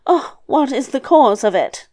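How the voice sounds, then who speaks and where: Casting: female reader as elderly fairy-tale grandmother, high voice, sly, scolding yet soothing, drawn-out delivery. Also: big pitch swings, a little mellow, heavily acted. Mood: plaintive. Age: older.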